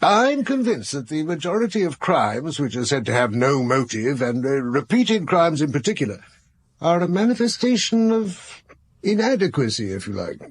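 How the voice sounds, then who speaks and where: A learned, assured professor in a radio drama. His voice sits a little high and has a husky edge, swinging expressively up and down. He muses analytically in an urbane, articulate way. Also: big pitch swings, moderate pace. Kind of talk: naturalistic radio drama acting.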